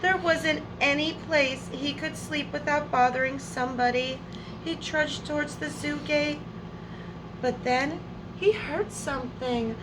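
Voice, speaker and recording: A woman's voice: high voice, background noise. A woman hosting a storytime, reading a picture book aloud to young children expressively, with friendly asides to her listeners. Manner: expressive, drawn-out words, addressing listeners as friends.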